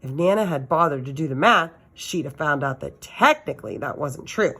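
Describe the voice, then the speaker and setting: A woman with a slightly low voice reads a children's novel aloud. She narrates like a teacher sharing a story with students, giving it expression. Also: lively intonation, moderate pace, fairly bright tone, breathy edge.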